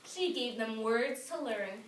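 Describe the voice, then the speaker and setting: A woman teacher reading a picture book aloud to young children, expressive and emphatic, pausing to instruct the class. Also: a little high. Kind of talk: animated classroom read-aloud. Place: echoey indoor classroom. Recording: echoey room, distant mic, noisy, clicks.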